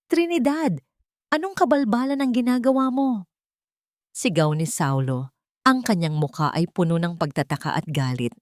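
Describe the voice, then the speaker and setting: Low-voiced woman narrating a solemn drama. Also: a little mellow, dry recording, clean studio sound. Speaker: audiobook narrator. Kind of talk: dramatic scripted story narration.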